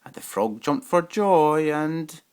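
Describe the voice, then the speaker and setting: A man giving an expressive, dramatic reading of a rhyming children's picture book. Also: a little high, slow, smooth. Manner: dramatic, rhythmic rhyming delivery. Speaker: storybook reader.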